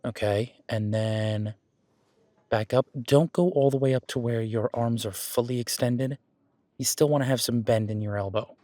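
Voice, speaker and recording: A man's voice: medium pitch, fairly even intonation, moderate pace, mellow, dry recording, close to an everyday voice. A man playing a friendly, patient werewolf boyfriend who coaches a workout in a casual, instructive audio roleplay. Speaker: gym training coach in roleplay.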